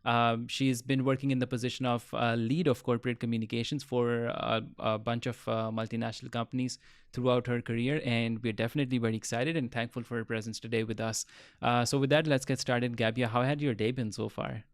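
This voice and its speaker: A man's voice: mid-range voice, little pitch movement, fairly quick, clean tone, no echo, clean studio sound. A man hosting an interview podcast over a video call, asking questions with many hesitant ums and uhs. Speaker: podcast host.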